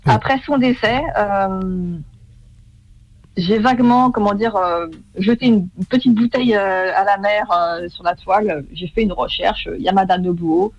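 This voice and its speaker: A woman's voice: mid-range voice, measured pace, no room sound, dull audio. A woman in her thirties, a guest in a radio interview, speaking hesitantly with many fillers and restarts. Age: 30s. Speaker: guest, former masterclass student.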